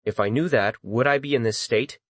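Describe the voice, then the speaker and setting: A man's voice: medium pitch, fairly quick, no echo, natural voice. A man voicing an irritable female spirit in a dramatized audiobook, snappish and contemptuous. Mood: snarling.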